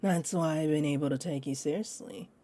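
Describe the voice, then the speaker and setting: A woman's voice: sits low, mellow. An actress playing a teasing, possessive female vampire in an audio roleplay, condescending and confident, speaking straight to the listener. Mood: polite, sly. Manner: smug, taunting, unhurried.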